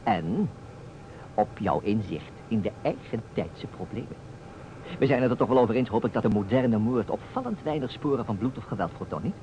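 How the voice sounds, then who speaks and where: Actor as a playful theatrical guy setting up a mystery game, very lively pitch, old muffled radio play sound. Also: moderate pace, natural voice. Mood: playfully insistent. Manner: theatrical, teasing, emphatic. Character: playful, insistent man staging a detective puzzle. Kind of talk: Dutch radio play acting. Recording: dull, noisy old radio recording.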